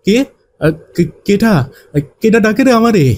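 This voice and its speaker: A woman's voice: mid-range voice, moderate pace, darkish tone, close to an everyday voice. A woman voicing a timid older village thief, a man who pleads in rustic dialect, for a cartoon. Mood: wary.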